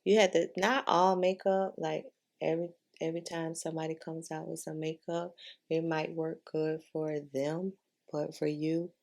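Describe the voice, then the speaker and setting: A woman's voice: low voice, little pitch movement, moderate pace, gravelly, a little mellow. A woman talking in a casual, chatty way as a beauty vlogger giving a makeup tutorial, informal and repeating herself for emphasis.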